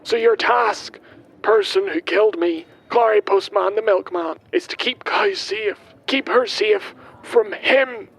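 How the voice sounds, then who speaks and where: A man's voice: unhurried, creaky, fairly bright tone, breathy edge, heavily acted. A man playing an eccentric milkman who delivers his taped last will in a grandiose, ominous, theatrical way, in audio drama style. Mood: ominous, boastful. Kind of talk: scripted audio drama monologue. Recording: noisy taped message with background rumble.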